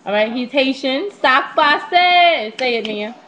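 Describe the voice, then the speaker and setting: A woman's voice: sits high, animated, slow pace, sharp tone, slight background noise. Candid young woman on a dull webcam mic. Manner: candid, slangy, profane. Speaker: vlogger. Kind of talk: casual confessional storytelling to webcam. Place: small indoor room at home. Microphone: netbook's built-in webcam mic.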